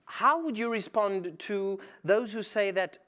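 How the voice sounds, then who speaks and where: A man's voice: high-pitched, a little slow, a bit bright. A young man acting a probing interviewer in a live stage play, putting pointed questions in turn. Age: young adult.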